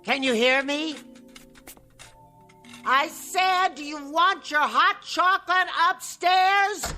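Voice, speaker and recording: A woman's voice: sits high, slow pace, pushed and bright, faint music behind, full character voice. An actress playing a weary, resentful middle-aged niece who answers her uncle with clipped, sarcastic retorts. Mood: tart, weary. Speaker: put-upon niece and housekeeper. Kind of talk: 1960s TV drama acting.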